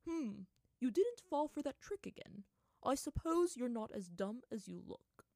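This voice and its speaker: A woman's voice: on the high side, very animated, measured pace, vocal fry, dark tone, no room sound, studio quality, voice pushed up, heavily acted. Casting: female reader as old male monkey spirit, storybook fantasy. Curt, aloof, grumpy, dismissive delivery.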